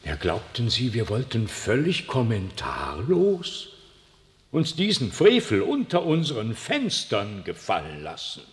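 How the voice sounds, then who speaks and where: An actor playing a stately, calculating pope, speaking solemnly and formally in theatrical style. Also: male voice, medium pitch, huge pitch swings, a little slow. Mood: indignant, solemn. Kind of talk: theatrical stage and radio drama acting. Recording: hissy old recording in long hall reverb.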